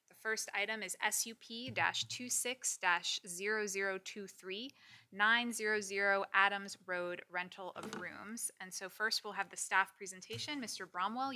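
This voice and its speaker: A woman chairing a commission meeting, running procedure in a brisk, orderly way. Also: medium pitch, pushed and bright.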